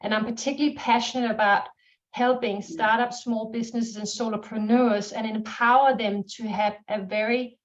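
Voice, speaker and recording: A woman's voice: fairly high pitch, fairly even intonation, moderate pace. A woman from Denmark with a Danish accent, a strategy consultant introducing her work in a confident, fluent way. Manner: confident, fluent business talk. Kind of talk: professional self-introduction. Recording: dull lo-fi audio.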